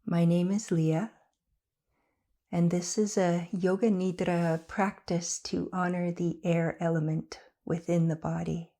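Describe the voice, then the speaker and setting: A woman guiding a yoga nidra meditation in a slow, soft, soothing voice with long pauses. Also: lowish voice, flat delivery. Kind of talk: guided meditation.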